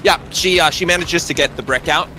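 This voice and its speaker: A man's voice: a little high, a little fast, bright, forceful tone, soft background music, rough recording. A man narrating a tabletop roleplaying game off the cuff as game master, in a casual, sweary way. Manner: casual, profane. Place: live-streamed game session.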